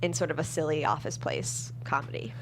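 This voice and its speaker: A woman's voice: sits low, brisk, slight background noise, rough recording. A woman chatting casually as a screenwriter in an interview, self-deprecating and peppering her talk with "like".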